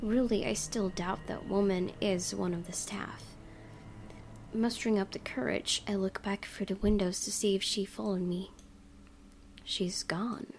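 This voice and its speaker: A woman's voice: mid-range voice, steady tone, measured pace, vocal fry, slight background noise. A woman gaming YouTuber doing a let's play, reading story text aloud expressively with casual asides. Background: game music.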